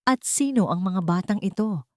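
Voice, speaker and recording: A woman's voice: mid-range voice, lively intonation, normal speed, dry recording, studio quality, natural voice. Woman voicing a cold, imperious rich man. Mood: cold anger. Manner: cold, sharp, emphatic.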